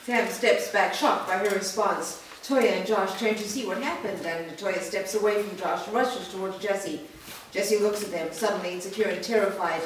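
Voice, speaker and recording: A woman's voice: medium pitch, moderate pace. A woman reading scripted stage directions at a staged reading, recorded in an echoey room. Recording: echoey room, distant mic, faint noise.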